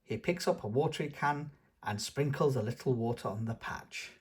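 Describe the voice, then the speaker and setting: A man's voice: mid-range voice, normal speed, darkish tone, a little hiss or hum. A man hosting a children's read-aloud, narrating a storybook in an enthusiastic manner. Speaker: children's book reader and channel host.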